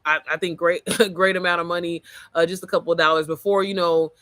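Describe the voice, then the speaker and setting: A young adult woman with a low, manly-sounding voice, talking as an online business coach in a casual, profane screen-share walkthrough, calling the viewer girl and baby. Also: fairly even intonation, fairly quick, a bit bright.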